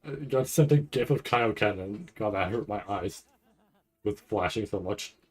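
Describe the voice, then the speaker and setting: Low-voiced man rambling through live reaction commentary. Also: lively intonation, a little slow, breathy edge. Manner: rambling, thinking aloud, profane. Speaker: streamer reacting to an anime trailer.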